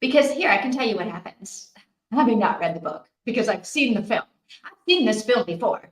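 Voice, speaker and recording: Woman streamer just chatting w viewers, thinking out loud, expressive, medium pitch, roomy echo. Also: a little fast. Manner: chatty, expressive, thinking aloud, reacting with interest. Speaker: host of a live reading-sprint stream. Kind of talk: casual livestream chat with viewers. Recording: roomy, echoey sound.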